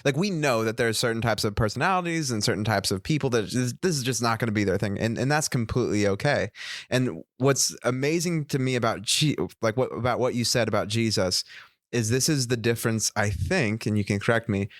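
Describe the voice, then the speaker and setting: A man's voice: mid-range voice, a little fast, no echo, clean studio sound. A man co-hosting a casual faith podcast, speaking reflectively and sometimes searching for words. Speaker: podcast co-host.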